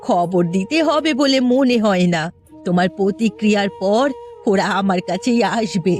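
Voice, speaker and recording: একজন মহিলার অভিনয়ে গ্রামের মমতাময়ী, উদ্বিগ্ন মাঝবয়সী শাশুড়ি. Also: female voice, mid-range voice, moderate pace, a little mellow, faint music behind, natural voice. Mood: resolute. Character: anxious, upright middle-aged village mother-in-law. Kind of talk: cartoon voice acting.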